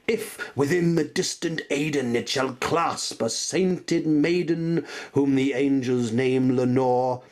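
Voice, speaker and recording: Man acting a grief-stricken man, high-strung and anguished. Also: creaky, darkish tone. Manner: theatrical, anguished, declamatory. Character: grief-stricken man pleading and raging at an ominous bird. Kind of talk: dramatic Gothic poetry recitation.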